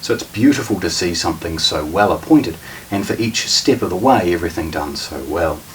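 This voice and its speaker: A man giving an informal, measured product demonstration as a shop presenter, explaining with appreciation. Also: low-pitched, lively intonation. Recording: distant mic with faint hiss.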